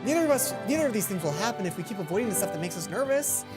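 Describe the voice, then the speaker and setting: A woman voicing a bubbly, encouraging female student in a visual novel, animated and emphatic. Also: medium pitch, rapid-fire, natural voice. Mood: earnest encouraging. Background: game music behind the voice.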